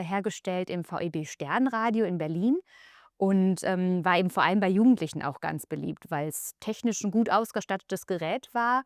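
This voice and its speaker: A woman's voice: medium pitch, darkish tone, no echo, clean studio sound. A woman explaining objects in a museum collection in an informal, explanatory way, stressing key words as she goes.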